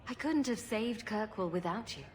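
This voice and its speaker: An actress playing a sarcastic young rogue heroine in a video game, dry and teasing. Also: female voice, medium pitch, lively intonation, moderate pace, lightly acted. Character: wry, sarcastic young woman rogue hero. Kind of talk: video game character acting.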